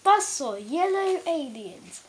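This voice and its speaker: A woman reading a children's bedtime story aloud, haltingly, stumbling over words and correcting herself. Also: high-pitched, sing-song, a little mellow, a little hiss or hum. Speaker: storybook reader.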